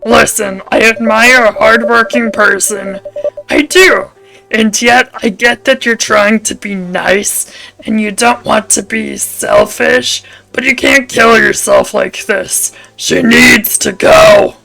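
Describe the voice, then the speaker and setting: A woman acts out a gruff, cranky landlord from a visual novel game. His voice is heavily gravelly and hard-edged, and he talks slowly and sternly, like a blunt man laying down rules, with music behind him. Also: steady tone, sharp tone, exaggerated voice, poor audio. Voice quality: gravelly, creaky put-on low voice. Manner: slow, stern, scolding.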